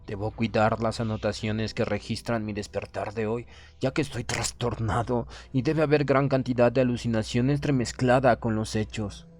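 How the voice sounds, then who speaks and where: Male audiobook narrator for classic horror, mid-pitched voice, measured and solemn first-person reading. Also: steady tone, darkish tone, soft background music.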